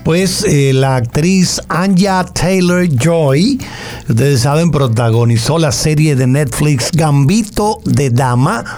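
A man calmly explains an entertainment story as a news presenter. His voice is a touch high for a man, with faint music behind him. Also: slow pace. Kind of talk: conversational news report.

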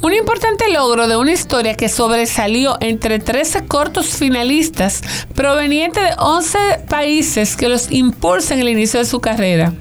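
A woman's voice: high voice, lively intonation, unhurried. A woman presenting film news in a chatty, conversational way, adding jokey asides. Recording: music bed underneath.

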